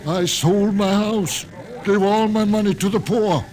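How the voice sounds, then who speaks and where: An actor playing a fervent, rustic doomsday believer in a classic radio drama, folksy and preachy with chanting zeal. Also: male voice, sits high, steady tone, a little slow, a little mellow, soft background music, rough recording. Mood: rapturous zeal.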